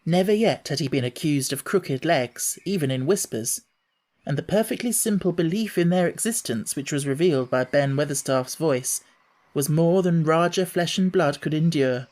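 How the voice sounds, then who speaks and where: A man narrating an audiobook in a measured, expressive storytelling manner. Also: a little high.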